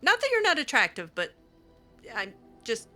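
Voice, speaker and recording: A woman's voice: fairly high pitch, huge pitch swings, moderate pace, pushed and bright, soft background music. A woman hosting a game roleplay, talking back to characters with sarcastic, hesitant remarks and laughs. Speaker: roleplay game player and channel host.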